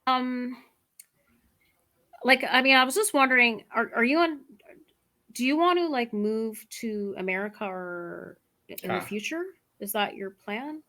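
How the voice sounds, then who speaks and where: A woman's voice: a little high, unhurried, pauses often, sharp tone. A woman from Canada with a Canadian English accent, an English tutor chatting casually on a video call, lots of "like". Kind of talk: casual online lesson chat.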